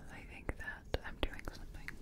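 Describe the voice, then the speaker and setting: A woman doing soft-spoken ASMR roleplay as a makeup competition contestant, half-whispering in a hesitant, chatty, self-effacing way. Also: moderate pace.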